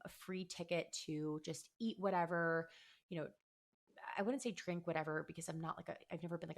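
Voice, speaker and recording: Slightly hoarse, low-voiced American woman podcaster. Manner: chatty, conversational, candid. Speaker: podcast host. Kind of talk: casual solo podcast talk.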